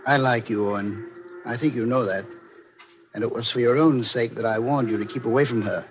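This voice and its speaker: An actor playing a grave, secretive old doctor and protective grandfather in a 1940s radio mystery, speaking in a measured, hesitant, gently authoritative way. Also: medium pitch, lightly acted. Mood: somber, measured. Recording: muffled, noisy old 1940s radio recording.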